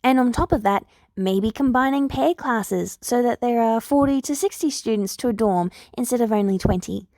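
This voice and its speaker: Woman doing eager polite student girl in an audiobook, making her case, high-ish voice, dry sound. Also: normal speed, hardly any pauses, dark tone, no echo, natural voice. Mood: earnest, persuasive. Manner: earnest, polite, persuasive. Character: earnest, eager older girl, hero student.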